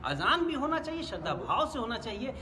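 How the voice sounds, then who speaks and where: A man's voice: extremely high pitch, very animated, a little fast, bright, forceful tone. A middle-aged man, a party spokesman, exhorting listeners in repeated, parallel phrases. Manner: exhorting, repetitive cadence. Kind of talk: interview statement to a reporter. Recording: clearly noisy background.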